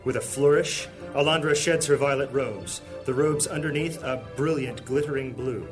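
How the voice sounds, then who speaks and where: A man narrating a high fantasy audio drama as a solemn, scripted storyteller. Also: normal speed, music in the background, natural voice.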